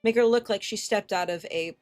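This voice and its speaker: A woman's voice: medium pitch, brisk, a little mellow. A woman streamer reading a visual novel aloud expressively, with casual, sweary reactions.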